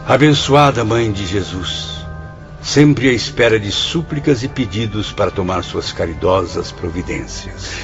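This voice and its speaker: A humble, penitent spirit in a radio drama, eager to learn and full of reverence. His lowish voice moves expressively up and down. The sound is slightly dull, with faint music behind it. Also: animated, a little slow. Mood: awed, reverent. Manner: earnest, reverent questioning. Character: humble remorseful male suicide spirit, eager pupil.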